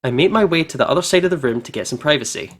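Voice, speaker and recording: Expressive man reading a game aloud. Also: on the high side, racing, slight background noise. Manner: expressive, reactive, swears casually. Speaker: let's play host reading a visual novel aloud. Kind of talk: dramatic first-person narration mixed with casual banter. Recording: game music behind voice.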